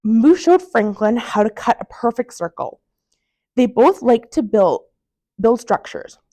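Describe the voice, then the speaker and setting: A woman reading a children's picture book aloud as a library storytime reader, with expressive storytelling. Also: on the high side, a little slow.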